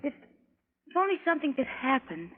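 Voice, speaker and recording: An actress playing an anxious, devoted young wife, pleading and fretful, in a 1940s radio drama. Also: female voice, high voice, sing-song, brisk. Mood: fearful pleading. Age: young adult. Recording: tinny old radio recording.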